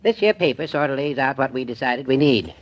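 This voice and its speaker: A man's voice: medium pitch, fairly quick, lightly acted. An actor playing a shrewd, folksy small-town selectman with a drawling rural way of talking, in a 1940s radio play. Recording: muffled vintage radio recording.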